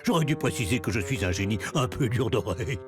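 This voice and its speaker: A man's voice: mid-range voice, animated, fast, close to an everyday voice. A man voicing an obliging, bumbling genie of the lamp in a French cartoon, with theatrical, flowery, servile delivery. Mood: eager, grandiose. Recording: music behind the voice. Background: music.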